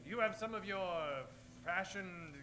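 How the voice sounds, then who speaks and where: A man's voice: high-pitched, a little slow. A man playing a swaggering, scheming Old West hero with theatrical delivery in a comedic radio play. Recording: music behind the voice.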